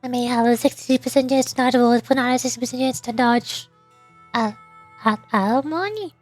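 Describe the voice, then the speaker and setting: A woman streams a game and talks casually as she goes. Her voice is high and playful, wandering between reading text aloud and rambling, with soft music in the background. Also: a little fast, dry recording. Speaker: VTuber streamer.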